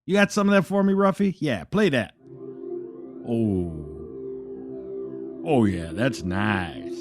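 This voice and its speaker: A man's voice: medium pitch, animated, slow pace, faint music behind. A man playing a laid-back, sarcastic radio disc jockey of the future, savoring his words in loose patter. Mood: sarcastic, amused. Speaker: radio host.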